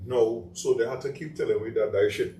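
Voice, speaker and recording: A man's voice: mid-range voice, fairly quick, dark tone. Trinidadian male host, emphatic storyteller; distant, roomy. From Trinidad and Tobago. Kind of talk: casual talk show chat. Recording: echoey room, voice from off-mic, faint noise.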